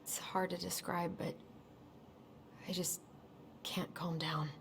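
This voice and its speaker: A woman's voice: on the low side, little pitch movement, slow pace, gravelly, slight background noise. A woman playing an anxious, weary young American woman in a video game, speaking in a hesitant, subdued way. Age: young adult. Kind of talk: naturalistic video game dialogue.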